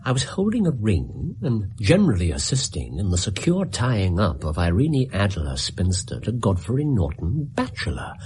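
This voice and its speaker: An animated, wry British detective. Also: male voice, on the low side, normal speed, few pauses, husky, exaggerated voice. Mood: wry, amused. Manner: theatrical, wry. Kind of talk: radio drama acting.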